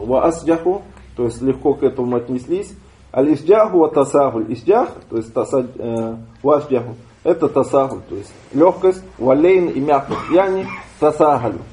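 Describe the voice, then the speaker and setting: A man giving a religious lesson, reading Arabic lines aloud and then explaining them step by step, often pausing and restarting. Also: medium pitch, warm tone. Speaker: religious teacher. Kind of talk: lesson explaining a classical text.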